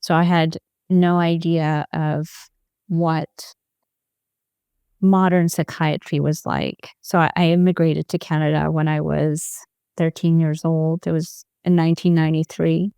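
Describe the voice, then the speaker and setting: A woman, a former psychiatric nurse telling her story as a guest in a thoughtful, soft-spoken way. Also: deep voice, flat delivery, measured pace, gravelly, smooth, dry recording, studio quality. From Ethiopia. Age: 40s. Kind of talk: personal storytelling in an interview.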